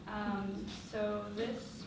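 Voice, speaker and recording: Young woman moderator, casual and loose, recorded far off with loud background noise. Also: medium pitch, level tone, drawn-out words. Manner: casual, filler-heavy. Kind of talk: casual event introduction. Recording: echoey room, distant mic, loud noise.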